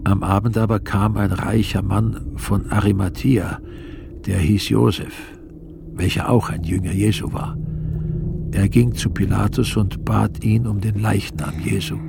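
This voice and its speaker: Grave, gravelly evangelist narrator. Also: male voice, fairly low pitch, steady tone, background noise. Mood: grave, solemn. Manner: grave, measured storytelling. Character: solemn male evangelist narrating the Passion. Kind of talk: scripted narration in a radio drama. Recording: loud music behind the voice.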